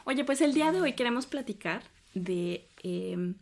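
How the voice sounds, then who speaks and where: A woman's voice: fairly high pitch, expressive, measured pace, vocal fry. A woman hosting a podcast interview. She asks her questions with small fillers and restarts. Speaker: podcast host. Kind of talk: scripted intro, then casual interview.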